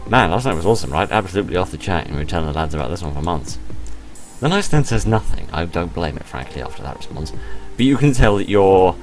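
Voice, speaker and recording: A British man reading a visual novel aloud as a let's play host, adding expressive, sarcastic, deadpan asides over game music. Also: deep voice, fast talker. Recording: music behind the voice.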